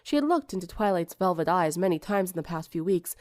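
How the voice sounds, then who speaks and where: A woman's voice: lowish voice, lively intonation, fairly quick, a little mellow, no room sound. A woman reading scripted fiction as an audiobook narrator.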